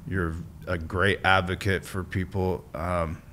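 A man's voice: low-pitched, level tone, slow pace, bright, forceful tone, slight background noise. A middle-aged man hosting a casual podcast interview, speaking in a friendly way with fillers and restarts, close to a studio mic. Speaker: podcast host. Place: bright room by large windows. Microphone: studio mic on desk stand, headphones.

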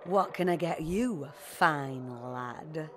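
An actress playing a sly, mercenary brothel madam in a video game, with a familiar, knowing manner. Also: female voice, sits low, very slow, slight background noise. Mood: sly, chatty.

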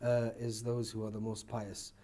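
A man's voice: lowish voice, monotone, normal speed, crowd noise. A man in a casual round-table discussion, interjecting insistently to make and question points. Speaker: panel discussion participant. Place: indoor restaurant-style set, seated at table.

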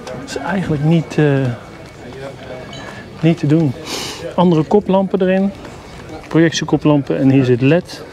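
A man's voice: on the high side, unhurried, long pauses, a crowd in the background. A man casually walking through a motorhome, chatty and enthusiastic with mild grumbling. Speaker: vlogger reviewing campers. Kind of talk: casual walkthrough vlog. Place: inside a motorhome at a product show. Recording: noisy camera audio.

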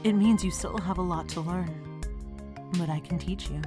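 A woman's voice: lowish voice, moderate pace, natural voice. A woman playing a confident, challenging mentor in a superhero comic dub, with music underneath. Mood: cool, assured. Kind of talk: acted comic dub dialogue.

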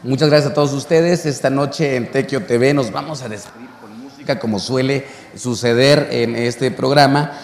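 A man in his thirties from Mexico hosting a relaxed interview, talking with animated gestures in a cultural center room. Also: medium pitch, normal speed, hardly any pauses. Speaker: show host. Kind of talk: conversational interview. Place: cultural center courtyard, indoors. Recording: echoey room with long reverb.

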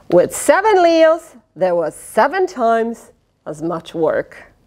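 A middle-aged woman reading a picture book aloud to children in an expressive, dramatic way, with playful asides. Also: fairly high pitch, drawn-out words. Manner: expressive, dramatic, with gasps, sighs and questions to the listener. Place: indoors, small fabric tent with cushions. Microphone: lapel.

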